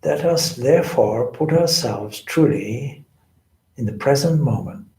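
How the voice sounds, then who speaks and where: A man gives guided spiritual instruction at a very slow pace. His phrasing is deliberate and measured, broken by pauses. His mid-pitched voice echoes in the room. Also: lively intonation, darkish tone. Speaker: meditation teacher, swami.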